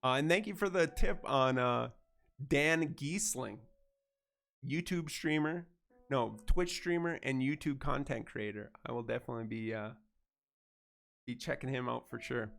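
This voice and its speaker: A man's voice: medium pitch, measured pace, creaky, fairly bright tone. A man streaming a video game in casual chat, congested from a head cold and sounding nasal, easygoing and chatty as he thanks his viewers. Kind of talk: casual gameplay livestream chat.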